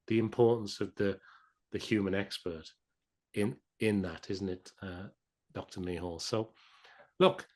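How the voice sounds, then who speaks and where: A man's voice: lowish voice, fairly even intonation, measured pace, pauses often. A man hosting a podcast interview, chatty and encouraging, often stammering on a word before he restarts. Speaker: podcast host.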